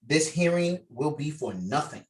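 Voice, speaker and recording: A man's voice: a little high, lively intonation, unhurried, a little mellow. A man directing a script table read on a video call, switching between reading stage directions and coaching actors. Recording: distant mic on video call.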